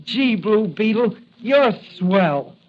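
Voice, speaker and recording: A man's voice: high-pitched, sing-song, takes it slowly, heavily acted. A male actor playing an earnest, admiring young boy in a 1940s radio serial on an old recording. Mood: awed, pleading. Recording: muffled, noisy old radio broadcast.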